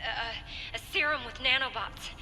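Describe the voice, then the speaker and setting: An actress playing a frightened young woman in danger in a video game cutscene, halting, breathless and pleading. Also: on the high side, pushed and bright. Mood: pleading, scared. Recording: long reverb, clear music behind.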